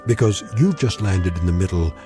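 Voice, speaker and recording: A man giving measured, portentous scripted narration for a suspense radio drama. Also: deep voice, animated, warm tone, faint music behind.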